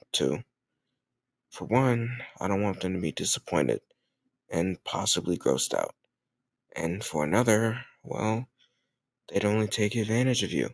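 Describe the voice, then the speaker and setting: Casting: male actor as cocky teen jock bully, lowish voice, smug but secretly soft, close dry intimate roleplay audio. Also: gravelly, dry recording, heavily acted. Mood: quieter, conflicted. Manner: teasing, smug, hesitant murmured asides. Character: cocky, popular teenage football captain bully, secretly tender. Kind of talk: intimate scripted audio roleplay addressed to listener.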